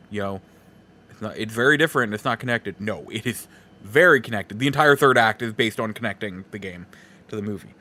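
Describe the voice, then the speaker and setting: A man's voice: mid-range voice, sharp tone. A man hosting a podcast, talking through a casual, rambling review in a conversational way. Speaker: podcast host. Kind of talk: casual movie review monologue.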